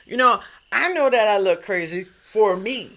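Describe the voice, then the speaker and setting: A woman with a New York accent and a deep, authoritative way of talking, speaking emphatically and repeating phrases for stress in a casual podcast conversation. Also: animated, normal speed, sharp tone. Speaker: music industry guest.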